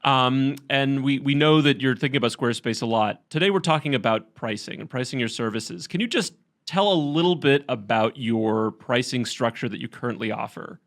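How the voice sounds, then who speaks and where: A man's voice: medium pitch, normal speed, a bit bright. Male host, friendly, asks questions with a few restarts. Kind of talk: live interview hosting.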